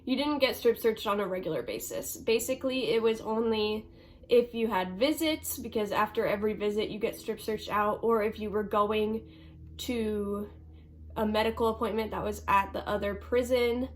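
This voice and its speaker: A young woman with a slightly stuffy, congested voice talks straight to her viewers in a loose, conversational way. She rambles a little, filling gaps with "um" and "like," her pitch sitting in an ordinary middle range. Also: normal speed, a little hiss or hum. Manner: chatty, lots of ums and likes. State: stuffy nose. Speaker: vlogger. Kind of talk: casual Q&A vlog.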